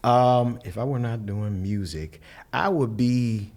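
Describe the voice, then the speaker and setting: A middle-aged man from Louisville, a musician and guest telling stories in casual conversation. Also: slow pace. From Louisville, Kentucky. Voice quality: mellowed former high singing voice. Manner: animated storyteller, quoting others with voiced impressions.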